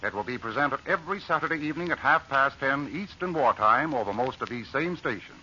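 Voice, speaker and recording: A man's voice: mid-range voice, animated, a little fast, sharp tone, slight background noise. A man announcing a 1940s radio show in a formal, declamatory scripted style, on a muffled old recording.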